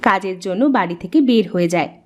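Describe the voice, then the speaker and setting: A woman's voice: medium pitch, expressive, fairly quick. A woman reading scripted narration for a children's story.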